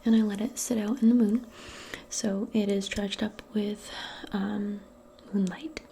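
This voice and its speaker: A woman speaking softly and breathily, often in a whisper, in a gentle, hesitant ASMR roleplay as a modern witch. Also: medium pitch, steady tone, slight background noise. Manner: gentle, unhurried, hesitant and improvised. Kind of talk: soft-spoken, whispered ASMR personal attention roleplay.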